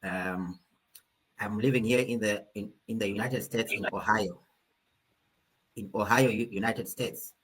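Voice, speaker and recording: A man from Zimbabwe with a husky voice, introducing himself as a guest on a panel. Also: on the low side, steady tone, a little slow, long pauses, fairly bright tone, a little hiss or hum, low-quality recording.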